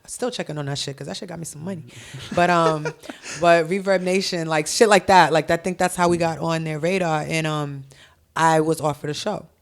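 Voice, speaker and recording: A young adult woman from Haiti, a guest on a podcast, telling stories in an animated, profane way over a headset mic in a dark studio. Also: low voice, fairly quick. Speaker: guest, hip-hop artist. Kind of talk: casual podcast interview. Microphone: headset with boom mic.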